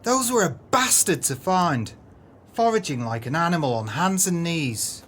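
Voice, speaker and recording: A man's voice: fairly high pitch, very animated, unhurried, fairly bright tone. Pompous crooked businessman played by a man, faint noise. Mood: frustrated fury. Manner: pompous, florid, theatrical. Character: haughty, pompous crooked businessman, easily exasperated. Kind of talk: audio play acting.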